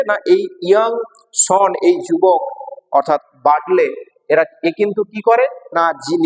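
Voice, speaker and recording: A man with an Indian Bengali accent from West Bengal teaching a lesson, repeating and translating phrases in a didactic way. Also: a little high. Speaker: English teacher. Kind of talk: bilingual exam lesson, explaining line by line. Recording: long hall-like reverb.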